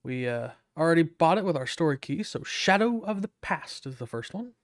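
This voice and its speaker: A man's voice: a little high, very animated, a little fast. A man giving casual commentary as he streams a game, with a wry, sardonic manner.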